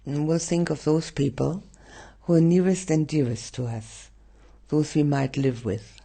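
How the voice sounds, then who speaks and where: A male meditation teacher guides listeners gently, leaving long pauses between phrases. His voice is mellow and husky, with a gravelly creak, and it soothes throughout. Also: fairly high pitch, fairly even intonation.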